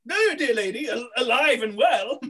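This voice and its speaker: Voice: mid-range voice, expressive, normal speed, fairly bright tone, slightly rough. A performer playing a scheming, boastful male sorcerer in an amateur pantomime read-through, with theatrical, cackling delivery over a video call. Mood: oily, flattering. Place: small room, on a video call. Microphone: computer mic on video call.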